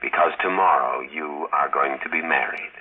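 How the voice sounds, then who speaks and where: An actor playing an emotionless talking supercomputer in a vintage radio drama, speaking in flat, clipped, formal phrases. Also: male voice, rumbling low, moderate pace. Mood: blunt, impassive. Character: emotionless talking supercomputer, terse oracle machine. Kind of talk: 1950s radio acting. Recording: husky, tinny vintage radio broadcast.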